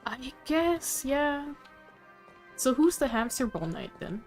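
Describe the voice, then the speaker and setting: A woman's voice: high-pitched, expressive, takes it slowly, a little mellow, soft background music. A woman streaming a game, musing aloud and reacting casually to the story.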